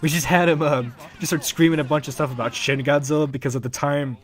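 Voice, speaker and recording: A man giving casual, unscripted commentary as the creator of a fan project, rambling and halting as he talks. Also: a little high, fairly even intonation. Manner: rambling, halting, chuckling now and then.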